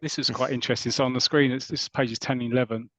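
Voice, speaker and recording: A man's voice: medium pitch, fairly even intonation, very fast, fairly bright tone, dry recording. A man hosts a casual podcast chat in a mid-range voice. He retells stories with enthusiasm. The sound is dry, with little room in it. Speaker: podcast host.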